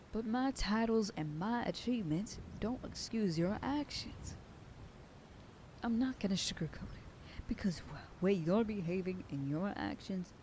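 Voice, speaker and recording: Woman voicing a stern but loving werewolf sheriff girlfriend, soft whispers, ASMR roleplay, faint noise behind. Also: medium pitch. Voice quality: soft whisper in quieter passages. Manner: scolding, then softening into tender whispers. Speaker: voice actor in an audio roleplay. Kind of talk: ASMR roleplay, speaking to the listener.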